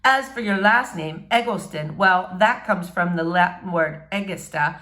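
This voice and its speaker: A woman's voice: fairly low pitch, bright, forceful tone. A woman voicing a nervous, lovestruck schoolboy in a storybook who turns defiant when pushed. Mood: scornful. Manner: halting when shy, scornful when provoked.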